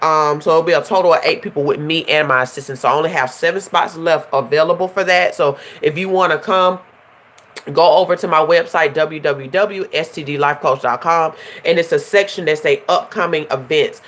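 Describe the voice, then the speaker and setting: A woman talks straight to her livestream viewers in a chatty, animated way. Her voice is stuffy and nasal from a runny nose, with a slight hoarseness underneath. She keeps it loose and conversational, like a coach catching up with her followers. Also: low voice, a little fast. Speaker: life coach hosting a livestream. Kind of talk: casual livestream chat.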